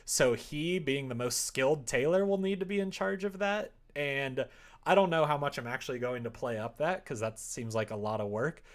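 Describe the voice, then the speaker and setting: A man's voice: mid-range voice, normal speed. A man streaming game development, chatting casually and thinking aloud in a rambling, playful way as he works at his computer. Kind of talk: casual livestream chat while working. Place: indoors at a computer desk.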